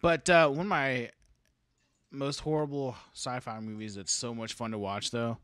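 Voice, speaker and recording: A man's voice: mid-range voice, steady tone, moderate pace, a bit bright, smooth. A man talking casually as a guest in a podcast group discussion. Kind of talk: casual chat.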